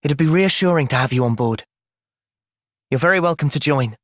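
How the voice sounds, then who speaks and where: A man voicing an earnest, thoughtful young soldier in a video game, with an English accent and a measured, sincere delivery. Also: fairly high pitch, animated, no echo, clean studio sound, lightly acted. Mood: calm, earnest. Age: young adult. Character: earnest, thoughtful young male soldier, gentle but resolute. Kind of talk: video game cutscene acting.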